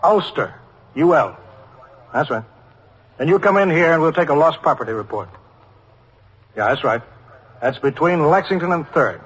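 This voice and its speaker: Police desk sergeant, rough husky voice, fairly high pitch, American accent, businesslike, 1950s radio drama recording. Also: male voice, lively intonation, moderate pace, pauses often, a bit bright, low-quality recording. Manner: businesslike, patient.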